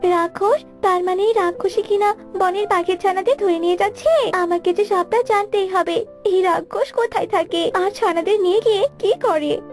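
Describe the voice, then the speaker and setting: High-pitched earnest mother bird voiced by a woman. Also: normal speed, soft background music. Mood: alarmed resolute. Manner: earnest, imploring. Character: worried, caring mother bird, determined to help. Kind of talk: children's fairy-tale cartoon voice.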